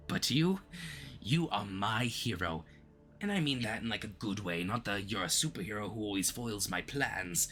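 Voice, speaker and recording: A man's voice: fairly low pitch, pushed and bright, full character voice. A man playing a smug but easily flustered, obsessive supervillain in a flirty, theatrical roleplay addressed to the listener, with awkward stammering slips. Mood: admiring, flirty.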